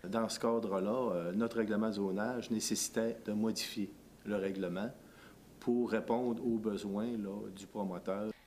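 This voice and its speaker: Low-voiced Québécois official, halting. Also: male voice, fairly even intonation, a little mellow, slight background noise. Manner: halting, filler-heavy explanations. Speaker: city planner being interviewed. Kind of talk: interview clip.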